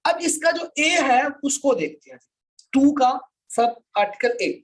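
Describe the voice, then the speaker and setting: A woman's voice: sits high, very animated, measured pace. A woman with a Pakistani accent lecturing on an online class, reading formal text aloud and explaining it with frequent short check-in tags. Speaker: law lecturer.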